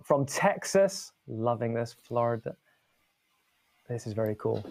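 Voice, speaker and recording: A man's voice: mid-range voice, lively intonation, unhurried, darkish tone. A man from Spain hosting a livestream in a casual, chatty, informal way. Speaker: livestream host, filmmaker.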